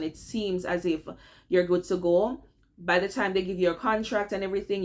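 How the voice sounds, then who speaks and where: A young woman with a Jamaican accent talks straight to her viewers in a relaxed advice vlog. She keeps it chatty and personal, often checking in with them as she goes. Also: fairly low pitch. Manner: chatty, addresses viewers as "guys", repeats "all right?". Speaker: vlogger giving career tips. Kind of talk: casual advice vlog to camera.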